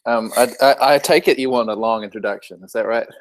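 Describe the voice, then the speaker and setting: A man with a New Zealand accent, a podcast guest telling his story in a thoughtful, measured way. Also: medium pitch, poor audio. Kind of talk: interview storytelling.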